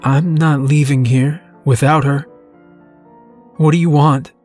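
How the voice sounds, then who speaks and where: A man's voice: on the high side, fairly even intonation, slow pace, darkish tone, soft background music. Man voicing a shaken, stubborn young man. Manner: stammering, plainspoken.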